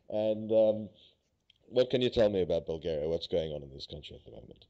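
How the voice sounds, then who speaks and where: Low, dark-voiced man hosting a podcast. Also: expressive, moderate pace. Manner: easygoing, laughs often, many fillers. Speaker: podcast host. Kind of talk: casual podcast chat. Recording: dull lo-fi sound.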